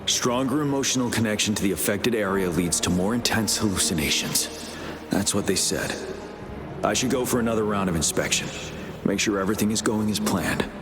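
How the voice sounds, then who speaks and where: Man as a low, stern army general. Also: natural voice. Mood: pensive. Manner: grave, commanding, measured. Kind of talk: anime game voice acting. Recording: clear music behind.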